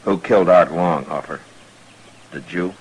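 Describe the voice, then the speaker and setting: An actor playing a stern, dry-humored frontier marshal in a radio western, with an American accent and a steady, laconic delivery. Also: male voice, deep voice, measured pace, slightly rough, rough recording. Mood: grave. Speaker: lead actor and narrator. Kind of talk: old-time radio western acting and narration.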